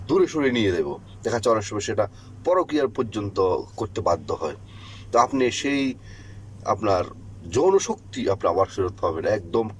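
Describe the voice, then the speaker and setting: Animated Bangladeshi man explaining things. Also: mid-range voice, a little hiss or hum, low-quality recording. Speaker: health advice channel host. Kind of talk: informal instructional monologue to listeners.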